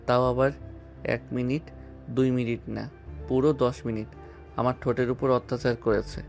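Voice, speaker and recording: A man's voice: medium pitch, level tone, moderate pace, gravelly, darkish tone, soft background music, close to an everyday voice. A man playing a frightened, put-upon young man in an audio love-story drama, complaining and pleading. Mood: aggrieved, pleading.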